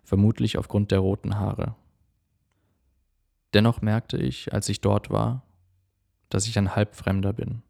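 Gravelly, flat-voiced male narrator. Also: fairly low pitch, unhurried, long pauses. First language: English. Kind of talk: scripted personal documentary narration.

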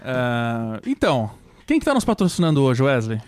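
A man's voice: medium pitch, wildly swooping pitch, normal speed, a crowd in the background. A man co-hosting a podcast, chatting casually in a laid-back, slangy way. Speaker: podcast co-host.

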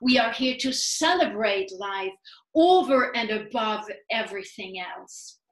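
A woman's voice: on the high side, animated, measured pace. Upbeat woman in an echoey room. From Sweden. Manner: upbeat, earnest, spiritual phrasing. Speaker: guest, life coach. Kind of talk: casual interview conversation.

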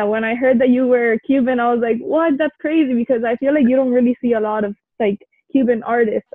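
A woman's voice: on the high side, no echo. Young woman on a tinny dry line. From Cuba. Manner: chatty, uses "like" often. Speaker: student interviewer. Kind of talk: casual interview conversation.

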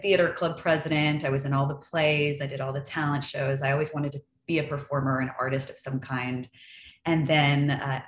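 Female podcast guest, American, lower voice, chatty personal storytelling, roomy sound with some hum. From Los Angeles area, California. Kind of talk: conversational interview answers, personal storytelling. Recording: echoey room with faint hum noise.

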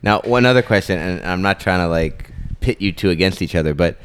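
A man's voice: low voice, a little fast, clean tone. A man hosting an interview, asking curious, carefully worded questions. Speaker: host, interviewer.

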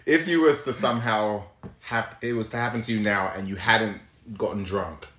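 A man's voice: fairly low pitch, moderate pace, fairly bright tone, echoey room. A man hosting a podcast from England, joking and teasing his guest in casual chat, often laughing. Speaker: podcast host.

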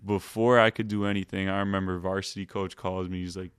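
A young man, a podcast guest, telling his own story in a relaxed way with lots of "like" fillers. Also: low-pitched, fast, clean tone, no room sound, clean studio sound. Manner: laid-back, heavy use of "like" fillers. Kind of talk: casual storytelling.

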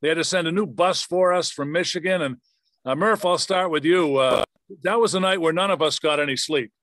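This is a man hosting a sports interview podcast. His voice sits a little high for a man. The sound is very dry, with no room around it. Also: fairly quick, a bit bright, no echo. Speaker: podcast host, former radio play-by-play announcer.

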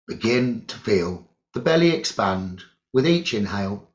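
A man leading a guided meditation as a teacher, giving calm, deliberate instructions in short phrases with long pauses. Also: lowish voice. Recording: dull, lo-fi sound.

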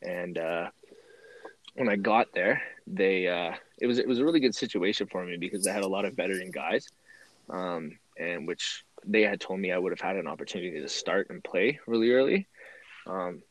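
A young man from Canada with a deep, low voice tells his story as a guest on a sports interview. He speaks in a relaxed, thoughtful way with frequent "um"s. He is recorded close and dry, with no room echo. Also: moderate pace. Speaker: guest, former university football player.

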